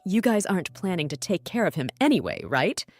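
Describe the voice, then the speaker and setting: Lively outspoken young aunt, voiced by a woman. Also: on the low side, a little fast, soft background music. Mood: scornful. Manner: sharp-tongued, lively. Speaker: aunt who works as a hostess. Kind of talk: anime dub acting.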